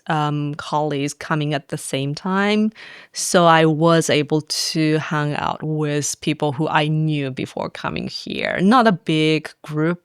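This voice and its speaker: A woman talks through her story in an easygoing interview, often laughing and leaning on "like". Her voice is smooth and resonant, recorded dry and close. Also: deep voice, no echo. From Japan. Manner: chatty, laughs often, fills with "like". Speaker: faculty guest. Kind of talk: casual interview chat.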